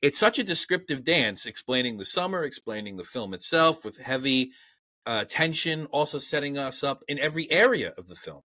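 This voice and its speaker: Blunt Brooklyn man, bright voice. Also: mid-range voice, expressive, a little fast, dry recording. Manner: blunt and profane, reads trivia aloud. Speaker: podcast co-host. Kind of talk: casual movie review chat.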